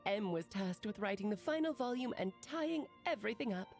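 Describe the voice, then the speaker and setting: A woman's voice: medium pitch, animated, brisk, a little mellow, soft background music, natural voice. A woman playing a breezy, eccentric witch auntie in an anime video game, with a theatrical storyteller's flourish. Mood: storytelling.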